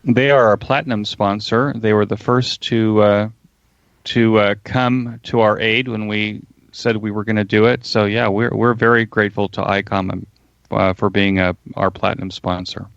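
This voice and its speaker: Older guy on a podcast interview, low creaky voice, pauses a lot, muffled lo-fi line. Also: steady tone, fairly bright tone, dry recording. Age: middle-aged or older. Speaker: interview guest, ham radio podcaster. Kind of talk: casual interview talk. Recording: dull, lo-fi remote connection.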